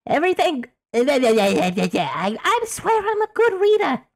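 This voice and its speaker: A woman's voice: sits high, sing-song, normal speed. A woman doing playful gameplay commentary in character, with sing-song, theatrical exclamations. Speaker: gamer playing in character.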